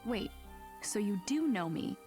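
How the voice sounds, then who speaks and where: A woman voicing a curious young girl in a video game, speaking in an earnest, questioning way over soft game music. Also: medium pitch, sing-song, unhurried. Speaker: game character. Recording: game audio with background music.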